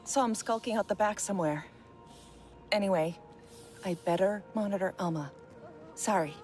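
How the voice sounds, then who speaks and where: An actress playing a strained, overwhelmed field medic in a video game, with a worried, clipped manner. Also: female voice, medium pitch, normal speed, a little mellow, faint music behind, lightly acted. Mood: worried, stretched thin. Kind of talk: video game character acting.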